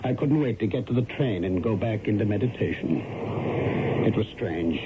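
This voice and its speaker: An actor playing a troubled, fearful married man in a 1970s radio drama, with intense, halting, dramatic delivery. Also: lowish voice, expressive, heavy background noise, close to an everyday voice. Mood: shaken. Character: troubled married male commuter, haunted, fearful and torn. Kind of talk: 1970s radio drama acting with first-person narration. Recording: dull old radio broadcast recording.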